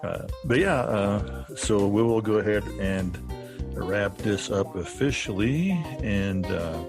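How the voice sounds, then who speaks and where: A man hosting a podcast in casual conversation, informal and halting in his delivery. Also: fairly low pitch, over music. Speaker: podcast host.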